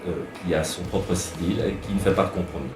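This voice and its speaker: Deep voiced restaurant owner guy, slow, hesitant, echoey room with music. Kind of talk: interview comment. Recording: roomy sound with music.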